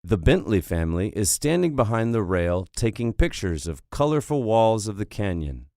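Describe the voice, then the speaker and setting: A man reads English textbook audio, announcing track numbers and reading passages and word lists. His voice is low and swings widely in pitch, and he speaks slowly and clearly. The sound is close and dry. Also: very animated, a little mellow, no echo. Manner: slow, clearly articulated announcing. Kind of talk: scripted textbook listening narration.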